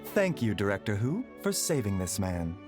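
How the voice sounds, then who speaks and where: A man playing a courteous, enigmatic young doctor in a video game, measured, formal and persuasive. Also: on the low side, big pitch swings, over music. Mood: calm.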